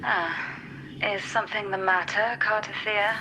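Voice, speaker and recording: A woman's voice: mid-range voice, fairly even intonation, unhurried, noisy background, close to an everyday voice. A woman voicing a composed, teasing grown woman in a fantasy video game. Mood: coy, teasing.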